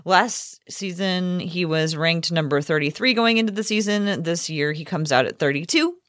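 Female sports podcast co-host, notably low voice, casual discussion, dry recording without room sound. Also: moderate pace, a bit bright, no echo.

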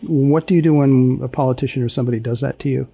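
A man hosting a podcast in a deadpan, understated, conversational way. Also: mid-range voice, fast, creaky, husky, no echo. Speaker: podcast host. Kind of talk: conversational interviewing and podcast narration.

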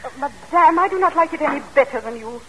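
French maid, lightly high voice. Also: female voice, expressive, fast talker. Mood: soothing, resigned. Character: kindly, philosophical French lady's maid. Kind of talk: old-time American radio drama acting. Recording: noisy vintage radio broadcast.

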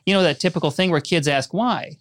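A man's voice: on the high side, fast talker, dry recording, studio quality. A middle-aged man from Minnesota, a filmmaker guest telling wry, self-deprecating stories about his childhood in a casual interview.

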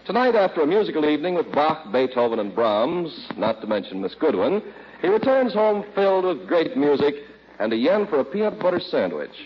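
A man's voice: fairly high pitch, sing-song, a little fast, slight background noise. An American man announcing in a polished, salesmanlike 1940s radio style with scripted delivery. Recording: muffled old radio recording.

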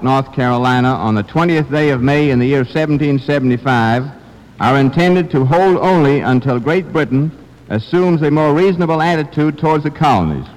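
A man's voice: a little high, a little mellow. A man reading aloud formally as a guest on an old-time radio show. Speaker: mayor, guest reader. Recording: old radio recording, echoey hall.

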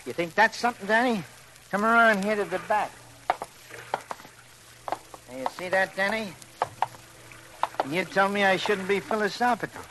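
Male cop sidekick acted by a man, high-voiced, wordy and folksy, streetwise talk, vintage radio crime drama with clear noise. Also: moderate pace, long pauses, natural voice. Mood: subdued. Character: folksy, talkative, deferential male city police sidekick. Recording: noisy old radio drama recording.

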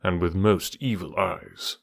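A man's voice: sits low, fairly even intonation, slow pace, creaky, no echo, clean studio sound, heavily acted. A man doing a stern scornful panther.

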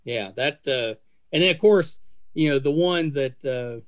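An American man in his fifties hosts a casual podcast interview. His voice sits at a middle pitch, and he talks in a chatty, hesitant way, rambling as he circles toward his questions. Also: unhurried, dry recording. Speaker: podcast host.

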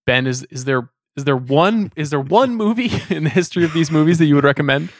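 A man's voice: on the high side, animated, quick pace, no echo, studio quality. A man hosting a podcast, putting questions to his guests in a casual discussion. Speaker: podcast host.

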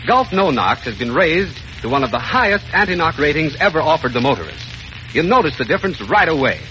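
A man's voice: on the high side, sing-song, a little fast, sharp tone. A man reading a scripted commercial as an old-time radio announcer, with polished salesmanship. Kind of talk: 1930s-40s radio ad read. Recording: muffled old radio recording, faint noise.

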